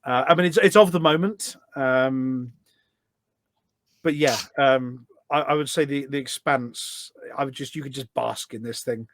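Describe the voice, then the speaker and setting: A man's voice: mid-range voice, a little slow, fairly bright tone, no room sound. A man talking enthusiastically as a guest in a casual interview, explaining things in a rambling way. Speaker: podcast guest, gaming community event organiser.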